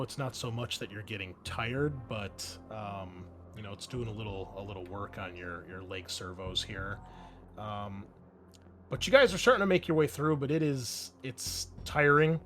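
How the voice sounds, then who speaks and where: A man acts as game master for a tabletop roleplaying game. His voice is of middling pitch, and he explains things in a halting, thoughtful way. Faint music plays behind him. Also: fairly bright tone.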